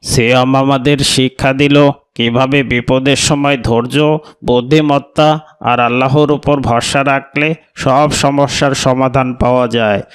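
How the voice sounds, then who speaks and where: Smooth-voiced solemn community leader, acted by a man. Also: medium pitch, fairly even intonation, close to an everyday voice. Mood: solemn, preaching. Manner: measured, formal speechmaking. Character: solemn, grateful community leader addressing villagers. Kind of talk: Islamic moral cartoon voice acting.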